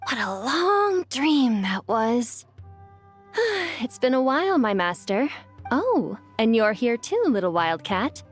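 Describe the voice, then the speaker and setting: A woman's voice: on the high side, big pitch swings, takes it slowly. Regal, ancient female djinn. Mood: languid. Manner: stately, formal, imperious. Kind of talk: fantasy game dramatic dialogue. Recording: faint game music behind the voice.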